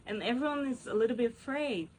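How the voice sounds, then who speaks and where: A woman's voice: fairly high pitch, expressive, fast, darkish tone, poor audio. Woman, interview host, curious questions, fillers, faint noise. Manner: curious, laughs often, hesitant phrasing with fillers. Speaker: podcast host. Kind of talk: interviewing a guest.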